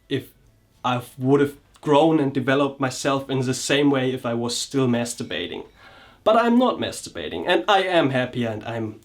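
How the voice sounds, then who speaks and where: A man reflects on his own experience for a vlog. He speaks at a middle pitch and picks his words slowly, often stopping to restart a sentence. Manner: hesitant, searching for words, frequent restarts. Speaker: vlogger and coach.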